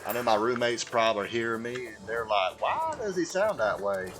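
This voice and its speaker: A man voicing a slow, simple, earnest Southern American man with a folksy drawl while chatting on a gaming livestream. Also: mid-range voice, sing-song, natural voice. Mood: resigned, chatty. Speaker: video game streamer. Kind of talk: livestream gaming chat held in a put-on voice. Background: music.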